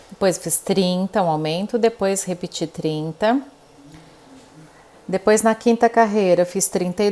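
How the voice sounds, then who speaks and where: A woman guides a crochet tutorial in a warm, mellow voice. She counts stitches aloud, one row at a time. Her pitch sits in a middle range and she keeps a patient teaching tone. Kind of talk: step-by-step craft tutorial.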